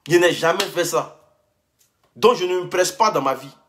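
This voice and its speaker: A man's voice: sits high, moderate pace, fairly bright tone, slight background noise. A young man, ranting heatedly on a live stream and repeating himself as he answers a rival. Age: young adult. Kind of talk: casual live-stream rant.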